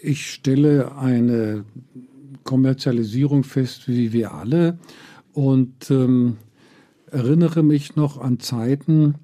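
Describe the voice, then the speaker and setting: Older man, from Israel, interview guest, very slow, deliberate, hesitant, dark mellow voice. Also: medium pitch. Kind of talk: reflective interview answers.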